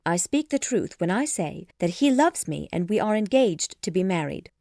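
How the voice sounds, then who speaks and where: Woman acting an earnest, spirited young woman, lively intonation. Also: on the low side, moderate pace, no room sound, clean studio sound. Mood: happy, sincere.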